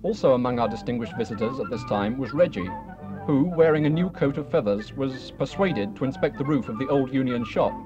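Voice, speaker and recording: A man's voice: medium pitch, animated, fairly quick. A British man reading scripted narration for a newsreel. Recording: dull old newsreel film soundtrack. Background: loud music.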